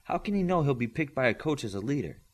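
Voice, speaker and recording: A man's voice: mid-range voice, sing-song, fast, voice pushed up. A man voicing a teasing, knowing young telepath girl in an audiobook story. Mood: informative. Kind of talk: audiobook character dialogue.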